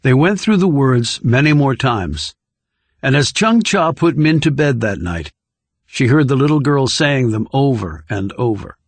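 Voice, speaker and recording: A man's voice: medium pitch, expressive, unhurried, no room sound. A man reading a scripted thriller novel as an audiobook narrator.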